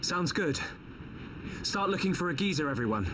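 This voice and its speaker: A man's voice: on the high side, animated, moderate pace, far from the mic, background noise, lightly acted. A man playing an earnest young hero in a fantasy video game cutscene.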